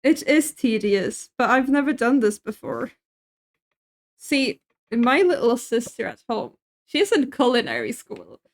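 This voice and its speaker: A woman's voice: on the high side, very animated, a little slow. A young woman from Sweden, chatting casually with her stream chat while cooking in her kitchen, laughing often and answering messages.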